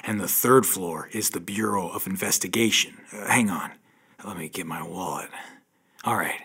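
An actor playing a tough, wry former convict with a decent streak, dry and hard-boiled, in a pulp audio drama. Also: male voice, deep voice, normal speed, gravelly, bright, forceful tone, husky, heavily acted. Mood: wry, weary.

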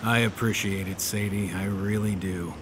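An actor playing a tough, laconic but kindly 1930s detective in an audio drama, plainspoken, dry and slangy. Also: male voice, low voice, steady tone, moderate pace, noisy background, lightly acted. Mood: gruff, kind. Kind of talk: hardboiled audio drama acting and narration.

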